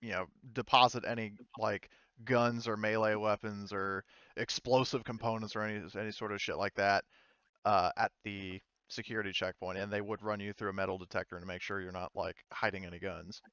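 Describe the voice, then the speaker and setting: Low, smooth-voiced man running a game. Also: fairly even intonation, a bit bright, no room sound, highs cut off. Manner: halting, rambling, casual. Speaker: game master. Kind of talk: improvised tabletop game narration.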